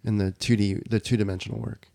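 A man's voice: lowish voice, level tone, fast talker, gravelly, dark tone. A man hosting a casual interview, asking long rambling questions that stack up and restart. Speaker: podcast host.

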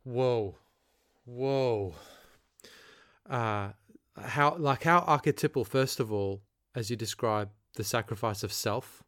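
A man hosting an interview, asking questions in a reflective, halting way with many fillers. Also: mid-range voice, fairly bright tone, no echo, studio quality. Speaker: interviewer host.

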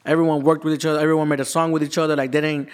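Fast-talking Miami guy saying "bro". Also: on the high side, flat delivery, smooth. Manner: quick, emphatic, peppers speech with "bro". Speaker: nightlife promoter. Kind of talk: casual podcast chat.

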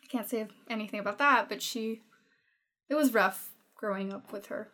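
A woman's voice: mid-range voice, moderate pace, a bit bright. A woman in her twenties from Denmark, speaking English with a Danish accent as a podcast guest, talking openly with frequent laughs. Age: 20s. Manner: open, frank, laughs often between thoughts. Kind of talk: casual personal conversation.

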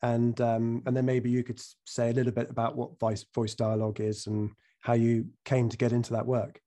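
A man hosting a podcast, croaky after a cold, speaking slowly with many ums and restarts as he introduces his guest over a remote call. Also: mid-range voice, completely flat delivery, mellow. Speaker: podcast host. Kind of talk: casual interview.

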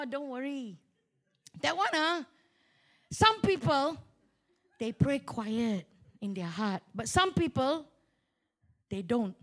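A woman preacher with a very high voice and a Malaysian accent. She tells her sermon as a story, acting out both sides of conversations in an animated, joking way, with colloquial particles sprinkled through. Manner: animated, colloquial, humorous, with local particles like lah and ah. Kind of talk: storytelling sermon with acted-out dialogue.

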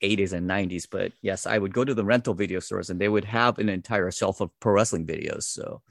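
Deep, gravelly-voiced man in his forties. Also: a little fast, no echo, clean studio sound. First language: English. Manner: relaxed, self-deprecating, many fillers. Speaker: interview guest, wrestling translator. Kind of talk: casual interview chat.

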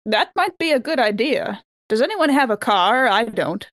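A woman's voice: a little high, sing-song, normal speed, a bit bright, no echo, studio quality. A woman chatting casually as a player in a tabletop story game. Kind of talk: casual group chat.

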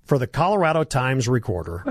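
A hoarse man hosting a talk radio show, delivering a combative, sarcastic monologue and coughing now and then. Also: medium pitch, huge pitch swings, moderate pace.

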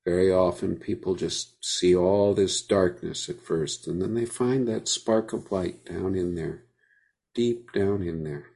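A man leading a guided meditation, speaking gently with unhurried pauses between phrases. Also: sits low, vocal fry.

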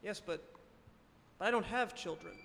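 A woman's voice: medium pitch, normal speed, creaky, background noise, lightly acted. A woman playing a confused, agreeable husband in an improvised radio play, speaking hesitantly and earnestly. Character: confused, agreeable married man, earnest smart-home buyer. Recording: echoey hall-like reverb.

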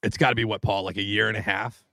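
Male podcast host, gravelly voice, dry close sound, swears freely. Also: fairly low pitch, steady tone, fast talker, sharp tone, no room sound. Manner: profane, laughing, loose. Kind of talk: casual chat.

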